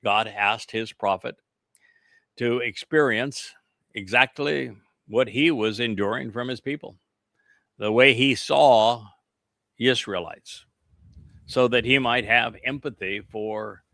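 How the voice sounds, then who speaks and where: A man with a bright, forceful tone delivers an earnest scripture lesson in an emphatic preaching cadence. He drifts into rambling asides with soft chuckles, and the recording is dry and close with little room sound. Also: mid-range voice, creaky, no room sound. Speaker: religious teacher. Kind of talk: scripture teaching with casual asides.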